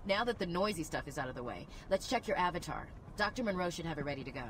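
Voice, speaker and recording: An actress playing a brisk, confident female military officer in a video game. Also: lowish voice, lively intonation, fairly bright tone, slight background noise. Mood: brisk, assured.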